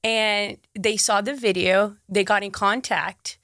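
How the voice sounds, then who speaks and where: A young woman from Brazil with a Brazilian Portuguese accent, a guest chatting in an interview, bubbly and quick to laugh, with lots of "like.". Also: mid-range voice, fairly even intonation, a bit bright, no echo, clean studio sound. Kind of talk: casual interview chat.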